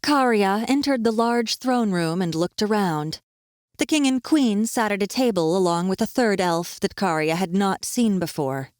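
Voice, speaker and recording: A woman reads fantasy fiction as an audiobook narrator. Her pitch is in the middle range for a woman, and the delivery is scripted storytelling. The sound is very dry, with hardly any room in it. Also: expressive, moderate pace, darkish tone, dry recording.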